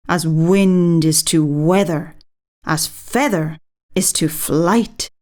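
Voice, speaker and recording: A woman narrates for young children in an expressive, teacherly way. She takes her time over each phrase, with a medium-pitched voice. Light background noise and birdsong sit behind her. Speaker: nature educator and poetry reader. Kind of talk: children's educational narration and poem reading.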